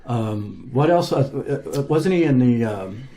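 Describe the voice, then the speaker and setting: A man interviewing a guest in a relaxed, hesitant way, with many fillers. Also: medium pitch, measured pace, rough recording. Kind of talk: casual interview.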